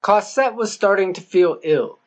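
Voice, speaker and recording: A man's voice: sits high, lively intonation, normal speed. A male narrator reads literary fiction for an audiobook. The delivery is scripted storytelling, in the voice of a novel's narrator.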